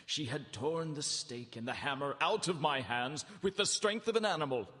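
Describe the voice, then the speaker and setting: An actor playing a grave, haunted witness narrating a horror in a live radio drama. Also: male voice, fairly high pitch, lively intonation, moderate pace, bright, forceful tone. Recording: live hall with long reverb.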